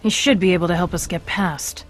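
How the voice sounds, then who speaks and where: A woman's voice: on the low side, brisk, soft background music, natural voice. A woman playing a stern middle-aged government commander in a video game, speaking with authority and dry sarcasm. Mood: wry, businesslike. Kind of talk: scripted video game acting.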